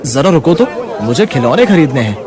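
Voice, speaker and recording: A man's voice: fairly high pitch, big pitch swings, brisk, crowd noise, noisy background. A man doing a confident kind young buyer. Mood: friendly.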